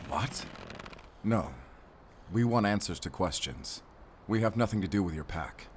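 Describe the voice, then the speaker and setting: A very low, stern werewolf hunter's voice, over faint ambient noise. He is guarded, terse and commanding, in the manner of a cinematic audio roleplay. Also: expressive, unhurried. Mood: firm, commanding.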